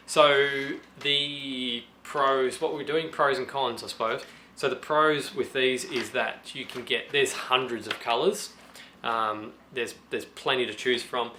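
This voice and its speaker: Man, slightly hoarse, sharp bright tone, casual hobby how-to, thinks aloud, restarts often. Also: mid-range voice, slight background noise. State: slightly hoarse from a sore throat. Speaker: DIY guitar pedal vlogger.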